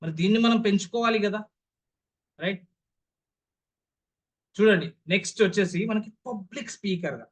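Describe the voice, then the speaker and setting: A man's voice: high-pitched, moderate pace, long pauses. A young man with a Telugu accent lecturing an online class as a public speaking trainer, stressing key words and often checking that listeners follow with rhetorical questions.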